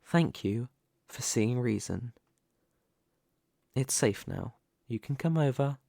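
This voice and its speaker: A man playing a commanding, possessive mafia boss who is devoted to his lover, in an ASMR roleplay, with a measured, persuasive delivery. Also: mid-range voice, expressive. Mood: tender, protective.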